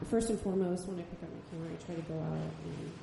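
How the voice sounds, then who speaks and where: A soft-spoken woman photojournalist answering a panel question, hesitant and full of ums. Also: lowish voice, steady tone, rapid-fire, creaky. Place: gallery event space. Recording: echoey room, far mic, background noise.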